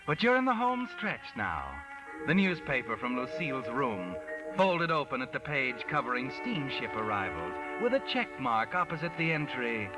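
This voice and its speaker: An actor playing a sly, knowing narrator of a 1940s radio mystery, speaking deliberately and insinuatingly. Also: male voice, mid-range voice. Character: sly, knowing omniscient male narrator taunting the protagonist. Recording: distant old radio broadcast with loud music.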